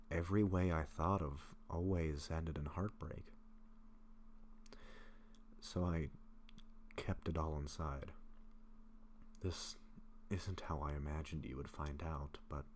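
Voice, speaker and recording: A man performs a gentle, self-doubting male vampire confiding in his best friend in an audio drama. His voice is extremely deep and he talks slowly and haltingly, with soft affection. A faint noise sits behind the recording. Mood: confessional, relieved. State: sick, occasional coughing. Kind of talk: intimate roleplay audio drama. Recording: faint steady background noise.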